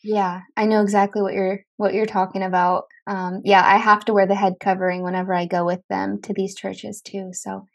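A young woman hosting a faith podcast, chatting enthusiastically with a guest, filler words scattered throughout, into a boom mic at home. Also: little pitch movement, a little fast, gravelly, few pauses. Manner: chatty, enthusiastic, many likes. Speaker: podcast host. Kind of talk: casual interview chat. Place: room at home.

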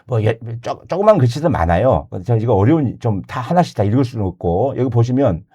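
A man in his sixties explains insurance coverage in a mellow, darker voice. His pitch rises and falls in a lively way. He drives each point home emphatically and often stops mid-word to correct himself. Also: brisk, breathy edge. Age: 60s. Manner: emphatic, repeats key points, self-correcting. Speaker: insurance advisor. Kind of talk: explanatory sales talk.

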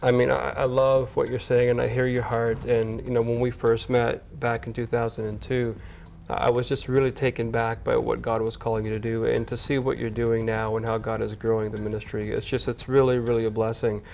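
A man's voice: medium pitch, brisk, vocal fry, hardly any pauses, slight background noise. Friendly male TV host interviewing. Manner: friendly, energetic, chatty with laughs. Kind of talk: casual on-location interview. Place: church hall indoors.

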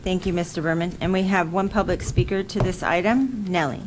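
A man chairing a school board meeting, calling motions and items in a brisk procedural manner. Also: sits high, fairly even intonation, a little hiss or hum. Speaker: board president.

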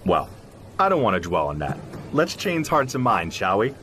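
An actor playing a sympathetic, cautious zoologist friend in an audio drama, conversational and probing. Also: male voice, fairly low pitch, sing-song, moderate pace, a bit bright, slight background noise. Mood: curious, friendly.

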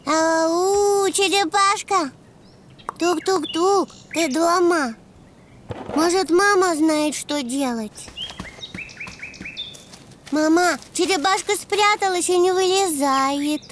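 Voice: takes it slowly, long pauses, background noise. An actor voicing a cheerful, eager little boy donkey with a childlike voice in a preschool cartoon. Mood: concerned. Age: young child character. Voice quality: high, childlike. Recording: music behind the voice.

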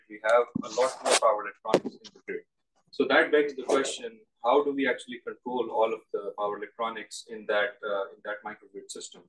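A man's voice: medium pitch, rough recording. Young man from Pakistan explaining research. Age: young adult. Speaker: graduate student researcher. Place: large open indoor lab.

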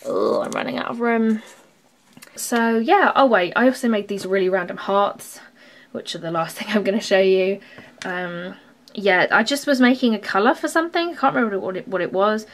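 A young woman rambles through a casual show-and-tell, full of ums, likes and little restarts. Her voice sounds a bit strained, as if she is losing it, and her pitch sits in an ordinary middle range. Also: animated, normal speed. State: losing her voice, sore throat. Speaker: craft channel host. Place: indoors at a craft table.

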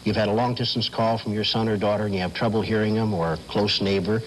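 A man's voice: fairly low pitch, steady tone, fast. A middle-aged American man explaining as an interview guest in a television studio, on slightly noisy vintage TV sound. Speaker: telephone company specialist, guest. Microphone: lapel mic.

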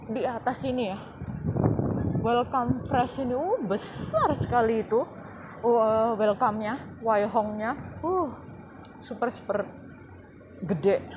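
Woman vlogger, relaxed and slow, phone mic, busy street noise behind. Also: a little high. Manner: chatty, relaxed commentary while walking. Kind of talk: casual walking vlog. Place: outdoor streets and indoor shopping mall. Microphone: handheld phone camera. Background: street and mall ambience.

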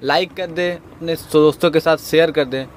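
High-voiced man giving a chatty how-to. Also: steady tone, normal speed, a little hiss or hum. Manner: explains step by step, chatty. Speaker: moto vlogger. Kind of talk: casual tutorial vlog. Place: riding a motorcycle, then at a shop table. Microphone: earphone mic tucked inside a helmet.

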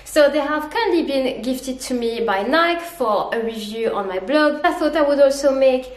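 Congested, high-voiced young woman reviewing shoes. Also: animated, moderate pace, roomy sound. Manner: chatty, upbeat, explains step by step. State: congested from a cold. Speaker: running vlogger. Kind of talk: product review to camera. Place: living room at home, indoors.

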